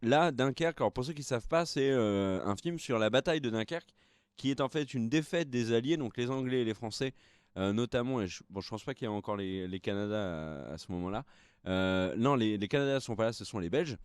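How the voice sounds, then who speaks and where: A man's voice: medium pitch, fairly quick, clean tone, dry recording, studio quality. Tired young man rambling with fillers. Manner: rambling, hesitant with many fillers. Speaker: co-host of a film news show. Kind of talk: casual live-stream chat.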